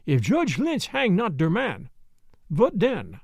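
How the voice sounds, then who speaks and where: A man's voice: sits high, huge pitch swings, slow pace, vocal fry, breathy edge, no room sound, exaggerated voice. A man voicing a stubborn, boastful male prisoner with a heavy German accent and broken English. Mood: scheming. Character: wild defiant male prisoner, stubborn and blustering.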